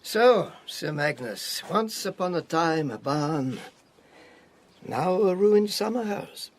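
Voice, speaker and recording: An actor playing a shrewd, worldly spy handler in a radio drama, with a husky, breathy voice and a measured, theatrical, commanding manner. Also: male voice, on the high side, lively intonation. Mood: sardonic, menacing.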